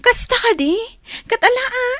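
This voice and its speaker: A woman's voice: very high voice, lively intonation, moderate pace, sharp tone, no room sound, heavily acted. A woman playing a perky, inquisitive young friend in an Ilocano radio drama. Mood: curious, perky.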